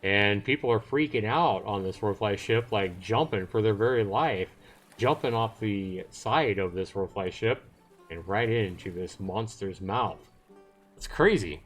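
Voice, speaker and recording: A man livestreaming a visual novel, reading it aloud and adding chatty commentary as he thinks aloud. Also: lowish voice. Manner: chatty, thinks aloud between read passages. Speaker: livestream host.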